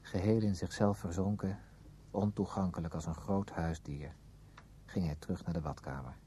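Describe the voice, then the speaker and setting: An actor playing an earnest, careful friend and narrator in a Dutch radio play. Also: male voice, low voice, steady tone, moderate pace, warm tone, slight background noise, lightly acted. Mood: hushed, grave. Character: earnest male friend, probing, narrating his discovery.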